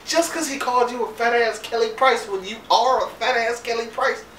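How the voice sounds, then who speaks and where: A man's voice: very high-pitched, fairly even intonation, brisk, bright, forceful tone. A man giving a casual comedic recap of a TV episode in an incredulous, laughing, profane way. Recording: roomy, distant mic, faint background noise.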